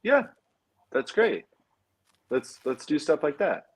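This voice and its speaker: A man co-hosting a casual film chat, talking in an informal, opinionated and halting way. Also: a little high, sing-song, breathy edge. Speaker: podcast co-host.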